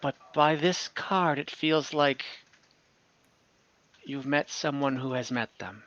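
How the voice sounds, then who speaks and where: A man voicing a shrewd older woman who reads tarot and keeps a brothel, speaking in low, measured pronouncements. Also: fairly bright tone, dull audio. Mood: cryptic.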